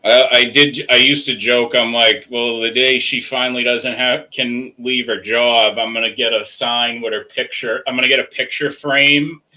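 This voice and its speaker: A man's voice: medium pitch, normal speed, few pauses, low-quality recording. A man telling jokey stories in casual chat, swearing freely. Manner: animated storyteller, swears, jokes about his wife.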